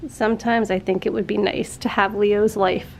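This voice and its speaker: A middle-aged woman vlogging casually at home with a nasal, congested voice and a sore throat, chatty and quick to laugh. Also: mid-range voice, level tone, vocal fry, darkish tone, slight background noise. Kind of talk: casual chat to camera.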